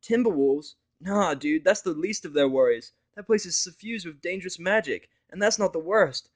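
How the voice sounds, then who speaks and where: A man voicing a laid-back, caring young female pony with slangy, animated delivery in a fan fiction audio story. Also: high-pitched, moderate pace, voice pushed up. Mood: explaining.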